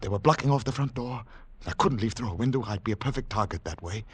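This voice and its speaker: An actor playing a haunted secret agent on the run, narrating in dramatic, deliberate, clipped phrases in 1940s radio thriller style. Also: male voice, on the low side, lively intonation, breathy edge. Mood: hushed suspense. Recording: noisy 1940s radio recording with clicks.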